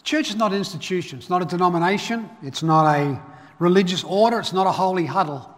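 A middle-aged man, born in Ireland and raised in Australia, preaching a sermon in a relaxed, conversational way, fillers and restarts. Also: high-pitched, quick pace. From Northern Ireland. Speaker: pastor. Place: church auditorium. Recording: reverberant church hall.

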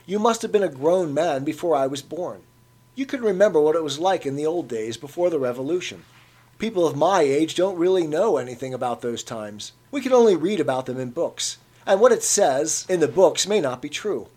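A man's voice: on the high side, lively intonation, darkish tone. A man voicing a tentative, earnest young man. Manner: tentative, measured. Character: cautious, earnest young man questioning an elder.

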